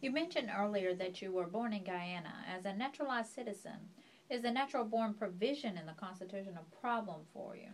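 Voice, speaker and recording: A woman's voice: mid-range voice, lively intonation, a little fast. Woman asking brief interview questions.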